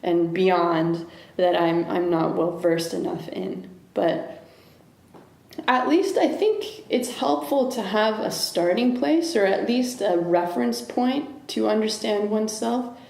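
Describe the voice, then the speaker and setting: A woman's voice: medium pitch, takes it slowly, vocal fry, a little mellow. A woman, a guest in an interview, talking things through thoughtfully and often restarting her sentences. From United States. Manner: thoughtful, searching for words, many restarts. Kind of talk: reflective interview conversation. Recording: echoey room.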